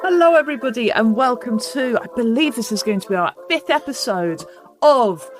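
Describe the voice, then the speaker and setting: A woman's voice: fairly high pitch, animated, fairly quick, faint music behind. A woman hosting a podcast, chatting casually with a joking, teasing manner. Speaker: podcast host.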